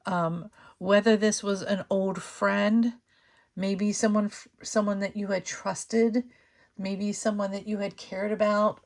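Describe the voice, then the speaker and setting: Slightly hoarse woman reading tarot. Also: medium pitch, level tone, takes it slowly. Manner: thinks aloud with many fillers and restarts. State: slightly hoarse, losing her voice. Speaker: tarot reader and channel host. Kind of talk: casual tarot reading to camera.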